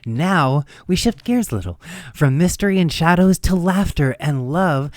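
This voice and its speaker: A man's voice: a little high, darkish tone, no echo, studio quality. A man hosting a radio show, presenting from a script.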